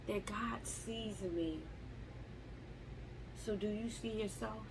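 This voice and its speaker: A woman talking slowly and haltingly in a reflective live journal at home, often repeating words as she searches for them. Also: medium pitch, vocal fry, noisy background. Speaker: vlogger.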